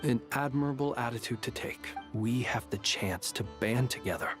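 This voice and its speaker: A man's voice: mid-range voice, moderate pace, soft background music, close to an everyday voice. An actor playing a modest, formal, dryly witty man in a video game. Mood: courteous, encouraging. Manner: formal, elaborate politeness.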